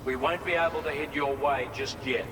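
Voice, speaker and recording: Guy playing serious teammate in a game, voice a bit high, big hall reverb. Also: steady tone, fairly quick, close to an everyday voice. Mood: concerned. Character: serious male comrade reporting trouble. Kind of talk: scripted game cutscene dialogue. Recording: echoey hall, clear background noise.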